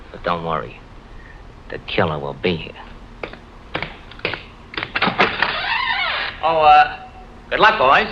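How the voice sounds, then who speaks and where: An actor playing a brisk, crafty, commanding male detective in clipped 1950s radio drama style. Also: low-pitched, bright, forceful tone, heavily acted. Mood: sly instruction. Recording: dull, noisy old radio recording.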